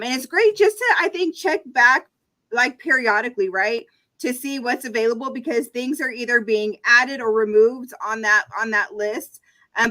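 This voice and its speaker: A woman's voice: a little high, sharp tone, poor audio. A woman moderating an online information session, reading out audience questions with frequent ums. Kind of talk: webinar moderation.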